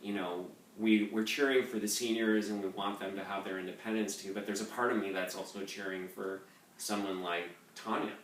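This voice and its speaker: A man, a composer, hosting a relaxed interview, chatty and loose with plenty of fillers. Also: fairly low pitch, a little fast. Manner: chatty, loose, lots of "you know" fillers. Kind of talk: casual interview chat. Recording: roomy echo, distant mic, faint noise.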